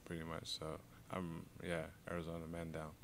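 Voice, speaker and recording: This is a young man from Congo, a native French speaker, with a very low voice that has a gravelly, fried edge. He answers questions easily and quietly, leaning on filler words, and he drifts into an unhurried interview chat. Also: completely flat delivery, a little hiss or hum. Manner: laid-back, soft-spoken, many fillers. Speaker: boxer.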